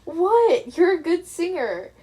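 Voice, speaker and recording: A woman's voice: extremely high pitch, very animated, slow, dark tone, voice pushed up, full character voice. A woman voicing a young man in a story, innocent and mild but wry and easily frustrated. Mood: innocent, playful.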